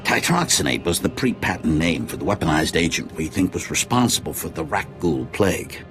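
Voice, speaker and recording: An actor playing an earnest, serious government official in a sci-fi video game, courteous and brisk. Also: male voice, deep voice, sing-song, music in the background. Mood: urgent. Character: earnest, urgent Republic official. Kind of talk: video game dialogue acting.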